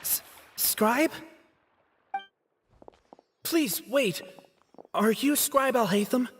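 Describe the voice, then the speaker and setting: Nervous junior male scholar, high voice, slow halting delivery, deferential, anime game. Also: a little hiss or hum, heavily acted.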